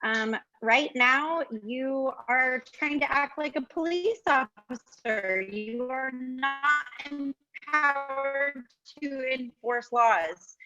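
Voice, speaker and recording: A woman's voice: high-pitched, slow, pushed and bright, dull audio, soft background music. A woman calling in by phone to a council meeting, firm and insistent in her public comment. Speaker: caller. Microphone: phone line.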